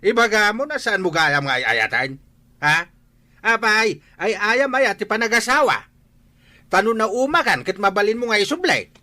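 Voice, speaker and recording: High-pitched, scolding old father. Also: big pitch swings, moderate pace, pushed and bright, heavily acted. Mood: angry, scolding. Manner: exasperated, lecturing. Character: stern, scolding old father of a married son. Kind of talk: radio drama acting.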